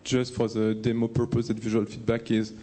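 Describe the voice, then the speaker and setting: A man in the audience asking a speaker a question, halting and restarting as he rephrases it. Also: medium pitch, monotone, dark tone.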